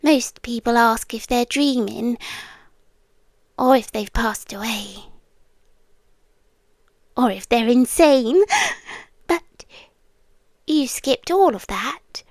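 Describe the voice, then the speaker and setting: A British woman playing a lonely, possessive demon girlfriend in an intimate ASMR roleplay, breathy and coaxing, often slipping into whispers with lingering pauses. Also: a little high, darkish tone, no room sound, clean studio sound. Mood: playful, possessive. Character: lonely, possessive yandere demon girlfriend, once human, soft-spoken. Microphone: close ASMR microphone, dry.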